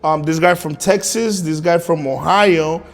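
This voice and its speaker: A man whose first language is Spanish talks as a podcast guest, loose and rambling, stacking fillers and false starts. His voice sits a little high for a man. A long hall reverb trails behind his words. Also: unhurried. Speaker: guest musician. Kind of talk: casual interview chat.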